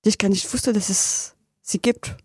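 A woman chatting casually, haltingly searching for words and repeating them. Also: medium pitch, fairly even intonation, darkish tone, breathy edge, dry recording, studio quality. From French-speaking country. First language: French. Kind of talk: casual studio chat. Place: dry radio studio.

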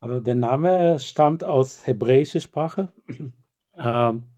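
Israeli-accented guy, mellow dark voice, lots of ums, dry sound. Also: mid-range voice, no echo. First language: Hebrew. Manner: halting, many fillers, non-native grammar. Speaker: band member. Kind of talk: interview answers.

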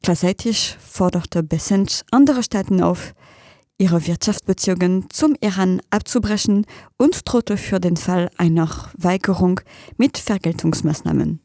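A woman's voice: on the low side, unhurried, warm tone, no room sound. A young woman with a French accent, a news reader at a radio studio who speaks German as a learned language, reading the news and then chatting hesitantly. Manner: hesitant, searching for words, laughs easily.

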